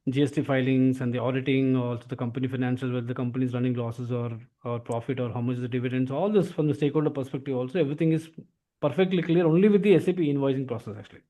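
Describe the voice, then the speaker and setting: A man with an Indian accent explaining software as an instructor, often tagging phrases with "actually". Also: mid-range voice, fairly even intonation, rapid-fire. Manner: repeatedly tags phrases with "actually". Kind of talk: explanatory software training lesson.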